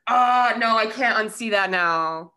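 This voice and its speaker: A woman in her thirties chatting casually as a podcast host, telling things in an animated, unfiltered way. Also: fairly high pitch, unhurried. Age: 30s. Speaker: podcast host interviewing a guest. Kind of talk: casual chat.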